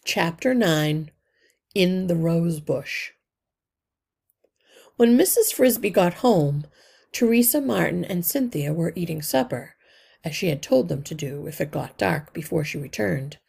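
A woman reading a children's story aloud as narrator, with careful, deliberate phrasing. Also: fairly low pitch, expressive, creaky, darkish tone, breathy edge.

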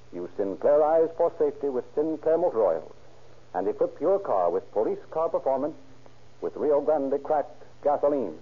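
A male announcer delivers a vintage radio sales pitch in polished, emphatic phrases. His medium-pitched voice is slightly rough, and the old recording sounds thin and narrow. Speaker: commercial announcer. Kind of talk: 1930s radio advertisement, scripted sales pitch. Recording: scratchy, tinny 1930s radio recording.

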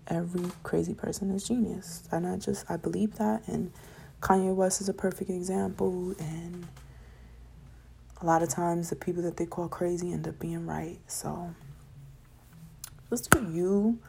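Young woman, solo podcast host, gravelly and a bit hoarse, mellow tone, rambling and preachy about positivity. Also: fairly low pitch, a little hiss or hum. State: voice getting raspy from talking late. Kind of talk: late-night solo podcast monologue.

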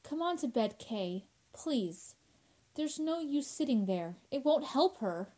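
A woman acts out a fretful, grief-stricken young woman in a radio horror play. Her voice is extremely high and her delivery slow, with a pleading, plaintive edge. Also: very animated, warm tone, exaggerated voice. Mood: weary, fretful. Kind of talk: radio drama horror acting.

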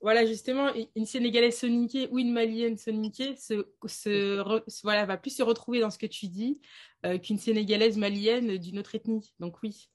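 A woman hosts a relaxed interview and asks her questions in a hesitant way. She fills her pauses with frequent hums as she goes. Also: fairly high pitch. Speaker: interview host. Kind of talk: casual interview.

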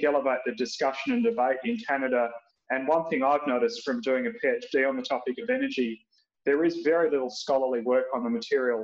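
A man with an Australian accent, a researcher speaking as a podcast guest, giving measured explanatory remarks with frequent fillers and restarts. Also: mid-range voice, roomy sound, highs cut off.

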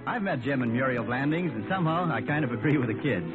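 A man's voice: medium pitch, animated, fast talker. A man announcing and narrating a 1950s radio comedy show. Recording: tinny 1950 radio recording over music.

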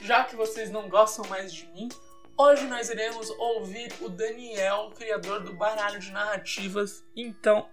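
A woman's voice: medium pitch, measured pace, music in the background. A woman with a Brazilian accent hosting a podcast in a casual, chatty, informal and playful way. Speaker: podcast host.

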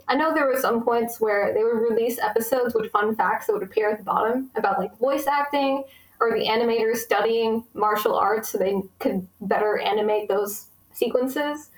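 A woman's voice: a little high, moderate pace. Chatty young woman in an echoey room. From United States. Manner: chatty, many fillers, enthusiastic. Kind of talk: casual conversation.

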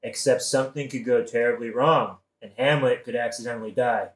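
A man's voice: medium pitch, fairly even intonation, brisk, roomy sound, lightly acted, low-quality recording. A man playing a scheming, casually murderous king in a modern satirical audio play, talking in an evasive, colloquial, wheedling way.

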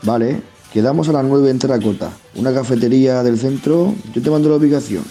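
A man's voice: mid-range voice, a little fast, warm tone, faint music behind, natural voice. A man playing a fit warehouse foreman in his thirties in an amateur radio soap. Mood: matter-of-fact.

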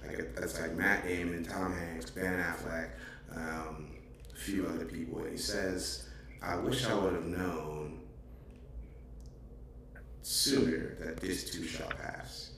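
A man's voice: sits low, fairly even intonation, slow pace, gravelly, a bit bright, recorded from a distance. A man in his thirties, a podcast co-host, talking earnestly and reflectively in casual chat with many filler words. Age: 30s. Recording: echoey, off-mic with faint noise.

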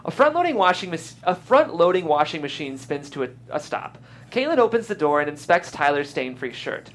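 A man's voice: fairly high pitch, sing-song, fairly quick. A man reading screenplay stage directions aloud as the narrator of a live table read. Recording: faint background noise.

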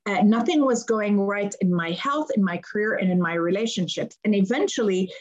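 A woman's voice: mid-range voice, moderate pace, dull audio. A woman in her fifties with a Lebanese accent, a guest on a podcast, explaining her work earnestly and repeating phrases for emphasis. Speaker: guest, conflict resolution coach. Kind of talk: podcast interview.

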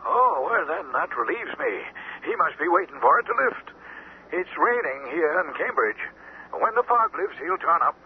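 An actor playing a reassuring, attentive doctor on the telephone in an old-time radio drama, thin and hissy. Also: male voice, a little high, sing-song, moderate pace, phone-quality sound. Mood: concerned.

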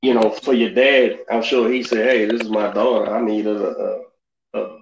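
A man co-hosting a podcast, asking rambling questions with many restarts and pauses. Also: on the low side, level tone. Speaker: podcast co-host. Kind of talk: casual interview questions. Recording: roomy, dull, slight hiss.

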